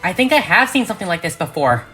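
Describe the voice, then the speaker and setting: A man's voice: high voice, very animated, fast, pushed and bright, soft background music, pitched above the performer's own voice, full character voice. A man voicing an earnest, bookish young female pony who explains things eagerly. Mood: urgent.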